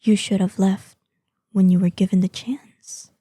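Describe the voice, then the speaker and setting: A woman playing an ancient, proud female dragon in a scripted audio roleplay, imperious in manner, with a breathy voice that lapses into whispers. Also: medium pitch, level tone, warm tone, no echo. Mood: languid threat. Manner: slow, imperious, savoring threats. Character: ancient, menacing, proud female dragon guarding hoard, lonely, excitable.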